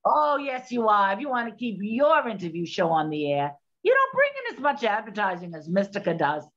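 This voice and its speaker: A woman's voice: mid-range voice, huge pitch swings, brisk, a bit bright, dull audio. An actress playing a blunt, exasperated, wisecracking woman of the 1920s with sardonic, slangy speech in a period comedy. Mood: brusque, insistent. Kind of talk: period comedy radio-play acting.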